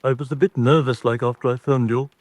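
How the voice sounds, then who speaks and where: A man's voice: mid-range voice, steady tone, quick pace, a little mellow, no echo, lightly acted. Deferential manservant, played by a man. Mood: deferential, uneasy. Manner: deferential, colloquial. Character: nervous, deferential British manservant, plain-spoken and loyal. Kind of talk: radio drama acting.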